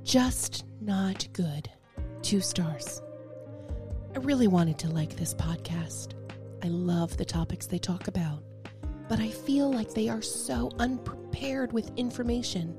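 A woman's voice: mid-range voice, animated, slow, music in the background. A woman co-hosting a casual chat podcast, playful and self-deprecating, with a free, sweary way of talking. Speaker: podcast co-host.

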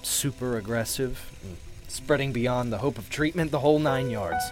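A man playing a hesitant, guilt-ridden widowed husband confiding in an audio drama, with halting delivery. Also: medium pitch, lightly acted. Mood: grieving, subdued. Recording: background music under the voice.

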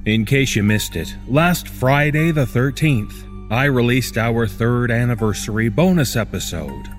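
Guy hosting a horror podcast, scripted intro, measured delivery, medium pitch, music under it. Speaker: podcast host.

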